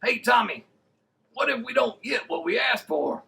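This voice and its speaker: A man's voice: extremely high pitch, expressive, moderate pace, vocal fry, pushed and bright, heavily acted. A man voicing an eager, curious, childlike puppet sidekick with a wide-eyed manner on a children's show.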